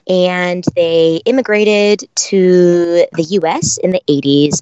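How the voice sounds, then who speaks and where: Low-voiced reflective woman from California. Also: clear, resonant tone, no echo, lo-fi sound. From San Francisco Bay Area, California. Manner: thoughtful, reflective, many restarts and fillers. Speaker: guest, dancer and choreographer. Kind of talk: podcast interview.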